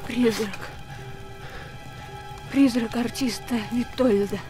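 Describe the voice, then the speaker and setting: A woman's voice: on the high side, fairly even intonation, slow pace, over music, low-quality recording. An actress playing a distressed provincial woman in a screen drama. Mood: dazed, frightened. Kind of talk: naturalistic screen drama acting.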